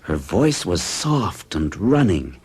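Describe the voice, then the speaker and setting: A man's voice: deep voice, very animated, slow pace, a little mellow, husky, full character voice. An actor playing a young man who narrates his strange experience in a radio drama, earnest and hesitant. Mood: recounting. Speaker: narrator and patient.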